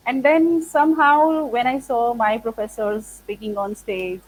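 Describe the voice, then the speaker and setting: A woman's voice: on the high side, fairly even intonation, unhurried, a little hiss or hum, rough recording. A woman whose first language is Punjabi, a spoken English trainer, answering interview questions thoughtfully in English with many fillers like "like" and "uh". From India. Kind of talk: interview conversation.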